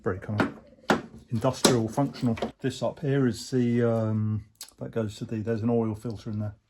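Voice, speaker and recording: A man, casually explaining a motorcycle repair as he works, in a relaxed, rambling way with plenty of fillers. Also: fairly low pitch, normal speed, very noisy. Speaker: motorcycle restorer, channel host. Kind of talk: casual workshop talk-through. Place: workshop or shed.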